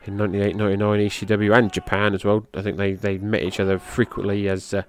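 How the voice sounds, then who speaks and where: A middle-aged man, a podcast host giving loose, rambling play-by-play of a match, who swears casually and lifts his voice at the big moves. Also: fast talker. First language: English. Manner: loose, rambling, swears casually, gets excited at high spots.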